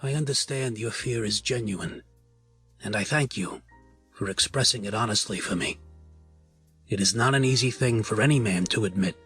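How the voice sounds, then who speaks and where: Man playing a sharp, commanding mafia patriarch. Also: fairly low pitch, soft background music, close to an everyday voice. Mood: composed. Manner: measured, authoritative, formal. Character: stern, formal male mafia patriarch, commanding and controlled. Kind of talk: scripted audio drama acting.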